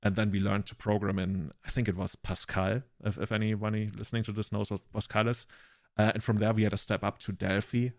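A man's voice: lowish voice, flat delivery, brisk, dry recording, studio quality. A man in his thirties with a German accent, chatting casually as a podcast co-host and telling rambling, self-mocking anecdotes.

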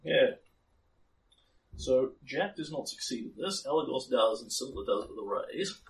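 A man's voice: mid-range voice, animated, unhurried, far from the mic, poor audio. A man narrates as game master, rambling through his points with plenty of ums and false starts. His voice sounds a little worn. He sits back from the microphone, and a faint hiss runs underneath. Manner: rambling, many ums and restarts. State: slightly losing his voice.

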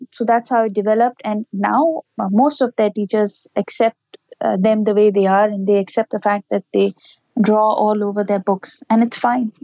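A woman's voice: medium pitch, dark tone, dry recording, highs cut off. A woman, a parent giving earnest, explanatory answers in an interview. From Pakistan. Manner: earnest, explanatory, with small laughs. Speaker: interview guest, parent and educator.

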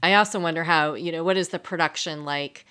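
A woman's voice: low voice, fairly quick, sharp tone, clean tone. A woman hosting a podcast, asking questions in a conversational interview style.

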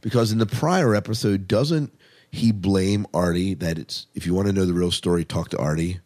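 A man with a low voice hosts a casual podcast chat. He talks informally, reacts with open enthusiasm and steers the talk with questions. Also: moderate pace, darkish tone. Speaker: podcast host. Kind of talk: casual TV show discussion.

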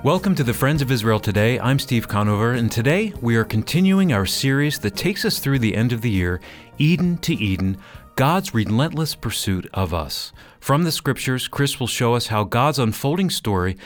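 A man's voice: mid-range voice, expressive, moderate pace, faint music behind. A man introducing a radio program as announcer and co-host, reading a scripted welcome.

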